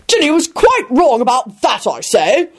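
Woman doing a blustering old man, shrilly high. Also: wildly swooping pitch, moderate pace, full character voice. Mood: indignant. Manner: bellowing, indignant, blustery. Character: blustering, pompous older man playing wizard headmaster.

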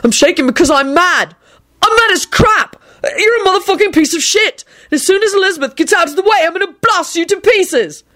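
Woman playing a ranting, high-strung, furious young man. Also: expressive, a little fast. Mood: enraged. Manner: shouting, emphatic, ranting. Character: hot-tempered, aggrieved, foul-mouthed young man.

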